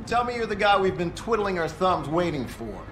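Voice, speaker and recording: A jaded tactical police officer in a video game scene, sardonic and needling. His voice sits a little high, in a roomy echo with faint ambient noise. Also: sing-song, a little fast. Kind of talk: video game cutscene acting.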